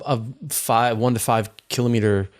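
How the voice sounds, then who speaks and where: A man's voice: medium pitch, little pitch movement, moderate pace, clear, resonant tone. A middle-aged man hosting an interview over a video call, putting casual questions to his guest. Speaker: interview host. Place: indoor room on a video call.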